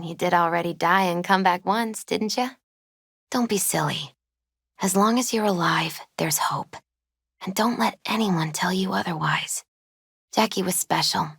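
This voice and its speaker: A woman playing a gentle, spiritual young tarot reader in a video game, soft-spoken, unhurried and often hushed. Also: lowish voice, gravelly, husky, no room sound, clean studio sound, exaggerated voice. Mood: reassuring.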